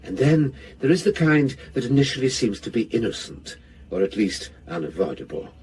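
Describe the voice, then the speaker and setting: Actor as male audiobook narrator, grave detective fiction, measured, dramatic swings in pitch, faint ambient noise. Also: big pitch swings, a little mellow, close to an everyday voice. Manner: measured, portentous.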